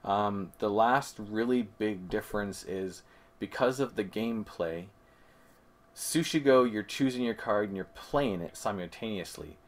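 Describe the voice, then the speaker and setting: A man's voice: fairly low pitch, unhurried. A man co-hosting a board game review, explaining things methodically in casual conversation and correcting himself as he goes. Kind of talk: casual comparison chat.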